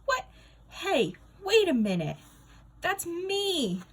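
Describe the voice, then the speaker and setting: A woman's voice: very high voice, very animated, takes it slowly, voice pushed up, exaggerated voice. Woman voicing a cocky, wisecracking sixth-grade boy. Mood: indignant. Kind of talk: children's book read-aloud.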